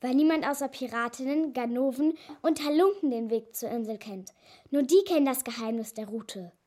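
A woman's voice: high voice, moderate pace, warm tone. A woman acting a bold, adventurous schoolgirl in a children's radio play, cheeky and insistent. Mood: longing. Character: bold, adventurous schoolgirl longing to sail with pirates.

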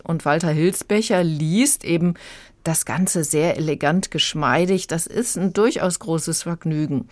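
A woman's voice: deep voice, darkish tone, no echo. A woman giving a conversational audiobook review as a critic.

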